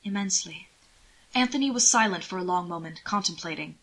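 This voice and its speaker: Bright-voiced woman narrating a story. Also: on the low side, fast talker, poor audio. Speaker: audiobook narrator. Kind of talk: expressive scripted story narration.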